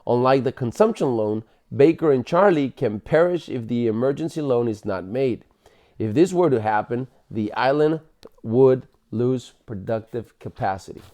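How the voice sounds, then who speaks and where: A man with a mellow, relaxed voice at a middle pitch reads aloud from a book as a parent would at bedtime. His delivery is explanatory and informal, and he now and then corrects his own wording. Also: normal speed. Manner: explanatory, stumbles and corrects himself while reading. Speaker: parent reading aloud to children. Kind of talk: bedtime read-aloud of a book, with casual asides.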